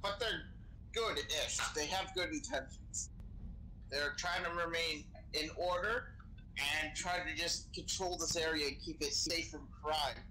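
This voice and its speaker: A man with a slightly high, bright voice talks in character during an online role-play game. He explains things casually and swears freely. There is faint noise on his voice-chat mic. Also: a little slow. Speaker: role-play gamer playing a crew member. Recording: noisy gaming voice chat.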